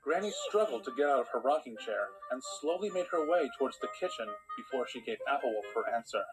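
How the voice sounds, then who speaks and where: A man playing a patient storybook narrator, measured and dry, with a long-suffering manner. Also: medium pitch, lively intonation, over music, lightly acted, low-quality recording. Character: patient storybook narrator turning exasperated when interrupted.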